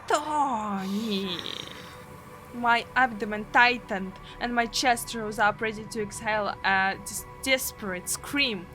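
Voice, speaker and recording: A woman's voice: a little high, steady tone, a little slow, hardly any pauses, pushed and bright, soft background music. A woman with a Russian accent, a native Russian speaker, dramatically narrating a visual novel as a streamer. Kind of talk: dramatic narration and live translation of game text.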